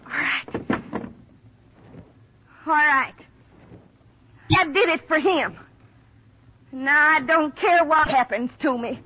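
A murderous, lovesick American ranch woman in an old radio play. Her voice is high and intensely pleading, as if confessing everything. The recording is dull, with faint noise behind it. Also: slow, long pauses, bright, forceful tone, heavily acted. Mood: bitter despair. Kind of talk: 1950s radio drama acting.

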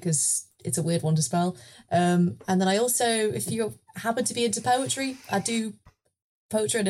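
A woman's voice: mid-range voice, a little mellow. A woman guest on a game podcast, speaking casually and a little hesitantly. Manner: hesitant, self-deprecating. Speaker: player and guest. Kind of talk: casual chat.

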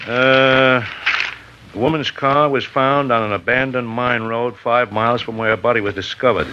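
Brief: actor doing a wisecracking investigator, everyday mid-pitched voice, dull old broadcast with clear hiss. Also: male voice, steady tone, moderate pace, close to an everyday voice. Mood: casual. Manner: casual, wisecracking. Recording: hissy dull 1950s radio recording.

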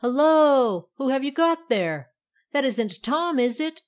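A woman's voice: medium pitch, very animated, measured pace. Woman playing a smug, jolly, boastful fireplace poker. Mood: jovial, chatty. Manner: jovial, boastful, chatty, sing-song in verse. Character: cheerful, smug, bold living fireplace poker, male.

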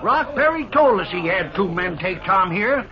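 A talkative, hearty sheriff of a 1950s radio western. His voice is high and very animated, caught on a dull old recording. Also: normal speed. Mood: surprised, friendly.

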